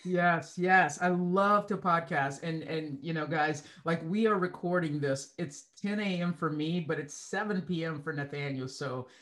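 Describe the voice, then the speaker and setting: A woman's voice: low-pitched, unhurried, hardly any pauses, a bit bright. A middle-aged woman hosting a podcast interview over a video call, enthusiastic and gushing, often repeating herself. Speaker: podcast host. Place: home studio, video call. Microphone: large studio mic with earbuds.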